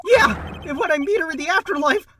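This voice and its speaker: A woman's voice: very high-pitched, lively intonation, fast, a bit bright, distant mic, faint music behind. A woman voicing a hot-headed, melodramatic young slacker man with a whiny, slangy delivery in a fan-dubbed game drama. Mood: frantic outrage. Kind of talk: anime-style game fandub acting.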